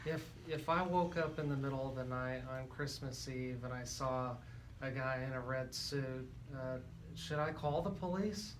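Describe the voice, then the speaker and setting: A man's voice: medium pitch, normal speed, poor audio. A man hosting a radio talk show in a conversational interviewing style. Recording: faint background noise.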